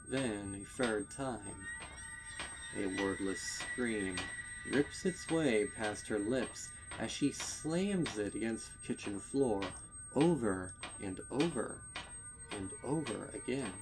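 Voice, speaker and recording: A man narrating a dark story with intense, theatrical delivery and deliberate phrasing. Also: on the low side, poor audio. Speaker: fan fiction narrator. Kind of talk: dramatic scripted horror story narration.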